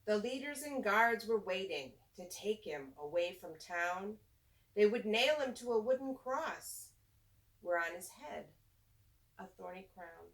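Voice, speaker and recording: A woman reading a rhyming children's storybook aloud, with deliberate, teacher-like delivery and simple asides to young listeners. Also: mid-range voice, expressive, recorded from a distance, poor audio.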